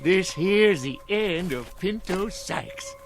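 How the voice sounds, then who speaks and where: Eerie old frontier geezer, highish voice, slow folksy Western American drawl, needling, gossipy, music underneath. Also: male voice, animated, creaky, exaggerated voice. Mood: gleeful. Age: older. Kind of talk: old Western drama acting. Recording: vintage TV drama audio with music.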